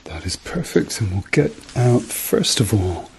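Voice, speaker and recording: Gravelly man in a soft ASMR role-play. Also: on the low side, big pitch swings, measured pace, slight background noise, low-quality recording. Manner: reassuring, murmured repeated encouragement. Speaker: ASMR role-play performer playing a doctor. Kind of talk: ASMR medical role-play, softly spoken.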